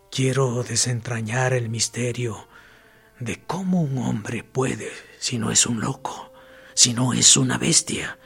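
An actor playing a dead male prisoner in a radio drama, a calm, mocking voice that speaks in a gravelly half-whisper with dry sarcasm. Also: mid-range voice, heavily acted. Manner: dry, sardonic, probing.

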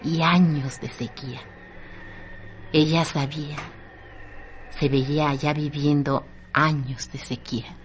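A woman's voice: low-pitched, steady tone, slow, pauses often. A woman giving a dramatic, literary narration as the narrator of a radio drama. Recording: noisy radio drama track.

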